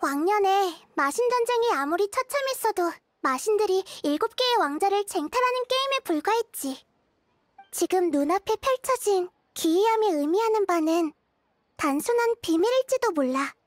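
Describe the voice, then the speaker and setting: High-voiced, wise little goddess. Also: female voice, expressive, no echo, clean studio sound. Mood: solemn. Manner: measured, explanatory. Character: small young goddess girl, calm, wise, thoughtful. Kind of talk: Korean game voice acting. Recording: music behind the voice.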